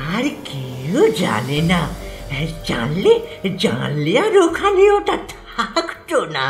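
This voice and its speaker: Eerie, halting, frail old patient. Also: female voice, mid-range voice, exaggerated voice. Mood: secretive. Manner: halting, repeats words, drawn-out. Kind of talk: animated horror story acting. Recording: echoey, with music behind.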